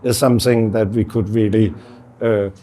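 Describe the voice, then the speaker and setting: A middle-aged man, speaking as an artist in a relaxed conversation and pausing often to search for words. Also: on the low side. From Denmark. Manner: halting, searching for words with many fillers. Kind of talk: casual conversation.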